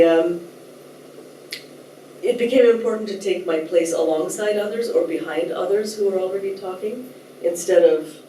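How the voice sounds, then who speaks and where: A woman from Nepal giving a thoughtful, measured talk as a writer, at times reading a translated essay aloud, with soft hesitations. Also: lowish voice, slight background noise. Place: lecture room. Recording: roomy echo, distant mic, faint ambience.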